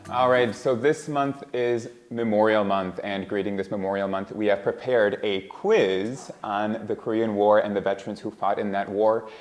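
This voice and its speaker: A man's voice: on the low side, fairly even intonation, moderate pace, hardly any pauses, slight background noise. A young man from the United States hosting a quiz show in upbeat, joking banter.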